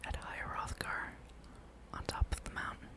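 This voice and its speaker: A person whispering a relaxed ASMR gameplay commentary, breathy and rambling, with dry, wry asides. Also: moderate pace. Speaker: host of a gaming ASMR channel.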